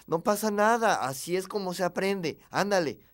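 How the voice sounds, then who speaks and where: A man's voice: sits high, expressive, moderate pace, voice pushed up. A man with a Mexican accent playing a firm, proud father who speaks emphatically to his young son. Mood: firm, reassuring.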